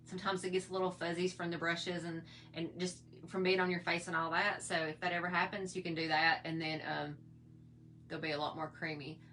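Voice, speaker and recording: A middle-aged woman talks through her makeup routine in a chatty, folksy, rambling way. Her voice sits a little low and sounds slightly hoarse. A faint hum runs under the recording. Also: little pitch movement, fairly quick, a bit bright. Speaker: makeup vlogger. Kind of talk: casual makeup tutorial chat.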